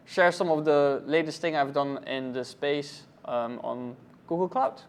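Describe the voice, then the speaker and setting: A man's voice: a little high, measured pace, clean tone. Belgian-born man, thoughtful and hesitant. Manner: thoughtful, many ums and uhs. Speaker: cloud architect, interview guest. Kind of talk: conference interview.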